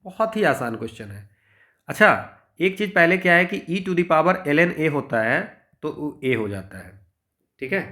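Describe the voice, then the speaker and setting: Higher-pitched Indian man teaching maths. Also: very animated, measured pace, bright, forceful tone. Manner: explanatory, with frequent check-in tags. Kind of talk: informal exam-prep lesson, working through problems step by step.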